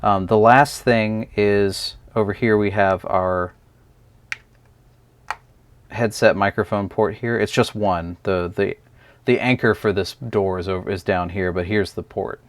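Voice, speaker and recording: A man with a fairly low voice talks through a gadget in a casual review style. His delivery is unscripted and hesitant as he explains things. The sound is dry and close, with little room to it. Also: fairly even intonation, measured pace, no echo. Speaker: product reviewer.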